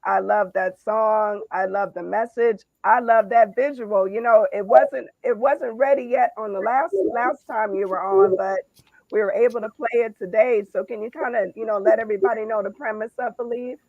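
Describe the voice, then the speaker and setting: A podcast host hypes up the show and then chats easily with the guest, answering with quick affirmations. The recording sounds dull and lo-fi, completely dry with no room echo. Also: mid-range voice, vocal fry. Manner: upbeat hype announcer, then relaxed affirmations. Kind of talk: podcast hosting, casual chat.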